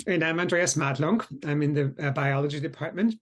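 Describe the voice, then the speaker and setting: A middle-aged man from Germany, a biology professor speaking on a Q&A panel in a relaxed way, clearing his throat now and then. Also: fairly high pitch, moderate pace. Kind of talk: panel Q&A introduction.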